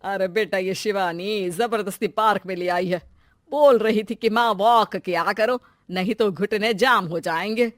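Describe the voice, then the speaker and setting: A woman plays an older mother-in-law from a family storybook tale. She is motherly and fretful, with an emphatic, coaxing way of talking. Her pitch is medium and the recording is dry. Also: unhurried, no echo, lightly acted. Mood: fond, fussy. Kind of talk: family moral-story acting.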